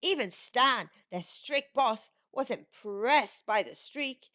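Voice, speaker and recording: High, animated woman reading a storybook. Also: lo-fi sound. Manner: measured, animated storytelling delivery. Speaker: children's storytime channel host and narrator. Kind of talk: expressive read-aloud storybook narration for young children.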